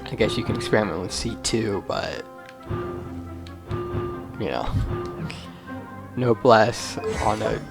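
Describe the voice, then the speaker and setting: Man, vocal fry, casual gaming commentary, muttered reactions, game music behind. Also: on the low side, takes it slowly, long pauses. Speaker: gaming video host.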